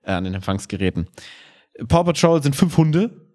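Ein Mann moderiert einen Podcast mit und erklärt dabei locker und umgangssprachlich. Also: male voice, mid-range voice, sing-song, normal speed, a little mellow. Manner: informal, explanatory. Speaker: podcast co-host, improv performer. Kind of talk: casual conversational podcast talk.

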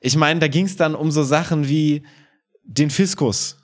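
A man's voice: fairly high pitch, a little slow. A man chatting casually as a podcast co-host, colloquial and opinionated in the way he talks. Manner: colloquial, opinionated, acts out short quotes. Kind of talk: casual podcast chat about children's theatre.

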